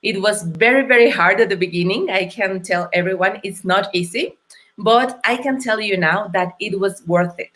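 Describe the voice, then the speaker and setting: A woman from Mexico with a Mexican accent, a non-native English speaker, telling her story as an interview guest in an earnest, thoughtful and halting way. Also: mid-range voice, fairly bright tone. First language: Spanish. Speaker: guest entrepreneur.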